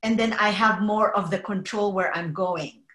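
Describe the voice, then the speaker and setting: A middle-aged woman from the Philippines, a podcast guest talking in an earnest, measured way with frequent fillers. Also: medium pitch, fairly even intonation, fairly bright tone, echoey room. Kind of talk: casual interview conversation.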